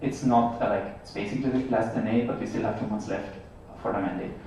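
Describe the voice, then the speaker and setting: A man opens an assembly with an unscripted, somewhat hesitant and rambling welcome. His voice is dark and relaxed, heard at a distance in a heavily echoing hall. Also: lowish voice, fast. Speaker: board member opening an assembly. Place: large echoing room, heard from far off. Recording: echoey hall, distant, dull, faint noise.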